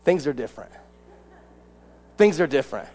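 A man's voice: a little high, very animated, moderate pace, darkish tone. A man in his late thirties with an American accent, preaching an informal sermon, repeating phrases for emphasis and restarting sentences. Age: late 30s. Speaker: youth pastor preaching. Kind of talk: casual sermon to a congregation. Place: large church sanctuary stage, indoors. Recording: reverberant church hall sound.

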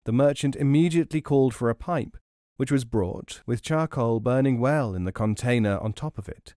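Expressive male audiobook storyteller, dry sound. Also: medium pitch, normal speed, no room sound. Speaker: audiobook narrator. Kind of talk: scripted storytelling narration.

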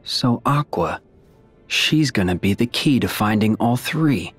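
A man voicing a loyal, steady young warrior in a video game, calm and understated. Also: fairly low pitch, a little slow, breathy edge, faint music behind. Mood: serious. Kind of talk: animated video game acting.

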